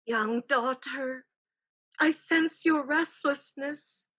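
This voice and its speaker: A woman acting a solemn ghostly aunt who gives measured, formal counsel in a staged play reading. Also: sits high, pushed and bright, heavily acted. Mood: solemn, counselling. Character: ghostly aunt spirit, solemn, counselling, haunted by lost sister.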